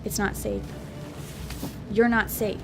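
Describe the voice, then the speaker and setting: A woman's voice: mid-range voice, flat delivery, takes it slowly, gravelly, over music. A woman playing a terse young secret operative in an audio drama, giving clipped, urgent commands, recorded a little away from the mic. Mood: guarded, firm.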